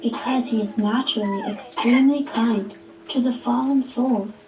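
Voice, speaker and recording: A woman's voice: fairly high pitch, moderate pace, natural voice. A woman playing a devout young boy prince in a devotional puppet show, earnest and serene, as if reciting. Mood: earnest, grateful. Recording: roomy, distant mic.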